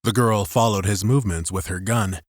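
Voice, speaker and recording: A man's voice: fairly low pitch, normal speed, no room sound, studio quality. A man narrating dramatic fiction as a scripted audiobook.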